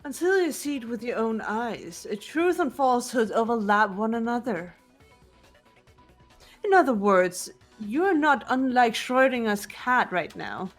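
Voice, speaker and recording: A woman's voice: fairly high pitch, lively intonation, unhurried, soft background music. A woman voicing a haughty, gloating young female mastermind in a fan-dubbed video game, grandiose and mocking. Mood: haughty, amused.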